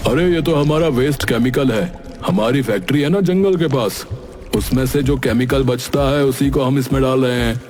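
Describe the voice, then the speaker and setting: A man playing a gruff, bullying factory worker in a cartoon, brusque and threatening. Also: mid-range voice, moderate pace, darkish tone, lightly acted. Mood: matter-of-fact. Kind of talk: animated cartoon character acting. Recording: music bed under the voice.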